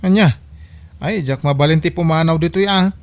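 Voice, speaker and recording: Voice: mid-range voice, moderate pace, close to an everyday voice. An actor playing a grumbling, reluctant grown child in an Ilocano-accented radio comedy drama. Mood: protesting.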